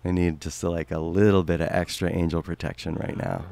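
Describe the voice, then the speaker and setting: A man in his forties who is a podcast guest, chatting enthusiastically in a studio on a boom mic. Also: level tone, gravelly, warm tone, dry recording. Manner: enthusiastic, quick affirmations. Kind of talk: casual chat. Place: studio room with stone wall. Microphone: studio boom mic with headphones.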